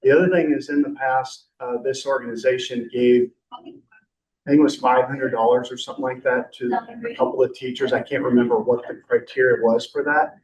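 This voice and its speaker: A man's voice: medium pitch, level tone, a little fast. Male meeting chair, casual and talkative, heard from a distant mic in an echoey room. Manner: chatty, rambling, with light joking. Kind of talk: council meeting discussion.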